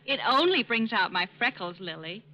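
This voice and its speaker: An actress in a 1940s radio drama playing a modest, conscientious schoolteacher who is polite and concerned. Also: female voice, on the high side, lively intonation, moderate pace, natural voice. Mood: hesitant, guilty. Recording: dull old radio recording.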